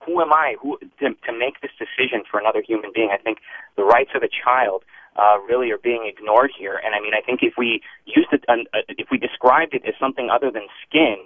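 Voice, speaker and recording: A man's voice: mid-range voice, a little fast, no room sound. A man from Iran calling into a radio show, earnest and opinionated, heard over a phone line. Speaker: caller. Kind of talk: call-in comment. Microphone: telephone.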